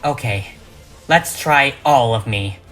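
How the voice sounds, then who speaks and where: A man's voice: medium pitch, slow pace, a bit bright, faint music behind. A man voicing a brave, determined young female pony heroine in an earnest cartoon audio drama. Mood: low, focused.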